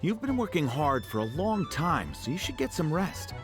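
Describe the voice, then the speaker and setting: A man's voice: on the high side, big pitch swings, normal speed. A man voicing a dutiful, deferential aide to a noble official in an anime game, polite and formal. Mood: reassuring. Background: music.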